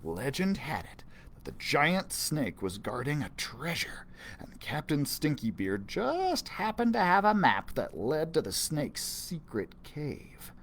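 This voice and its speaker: A man's voice: a little high, big pitch swings, takes it slowly, fairly bright tone, a little hiss or hum. A man reading a children's adventure story aloud as a storyteller. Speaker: library storyteller.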